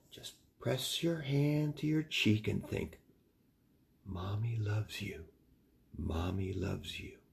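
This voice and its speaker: Man as storybook mother raccoon, gentle, loving, soft reassurance, unhurried, slightly creaky. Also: mid-range voice, exaggerated voice.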